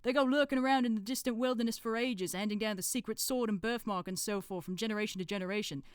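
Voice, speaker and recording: Storybook know-it-all brother, acted by a woman: colloquial, rambling, lifted in pitch and lively. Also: quick pace, no room sound, studio quality. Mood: knowing, cheerful. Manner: colloquial, rambling, matter-of-fact. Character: chatty, know-it-all male member of a secret brotherhood.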